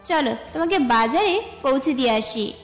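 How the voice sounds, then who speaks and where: A young college woman voiced by an actress, friendly and a little bossy, talks in a romantic audio story. Her voice is very high and echoes over a music bed. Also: lively intonation, normal speed.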